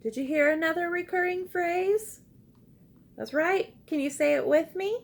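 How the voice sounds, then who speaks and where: A woman reading a picture book aloud to young children like a teacher, expressive and encouraging, pausing to ask simple questions and invite repeats. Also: extremely high pitch, gravelly. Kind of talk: interactive children's read-aloud with call-and-response prompts.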